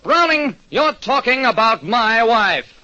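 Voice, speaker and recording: Man playing a high-pitched, hot-tempered lovesick gentleman. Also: very animated, takes it slowly, full character voice. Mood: indignant. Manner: emphatic, commanding. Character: hot-tempered, lovesick, bossy male client. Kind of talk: old radio detective drama acting. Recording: dull, noisy old radio recording.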